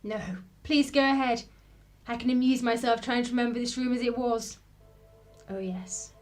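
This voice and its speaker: A woman plays a composed, secretive lady in a radio drama. Her voice sits fairly high, genteel and wry, with music beneath it. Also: fairly even intonation, normal speed, close to an everyday voice. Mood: polite, reflective. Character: polite, composed woman with a secretive wartime past.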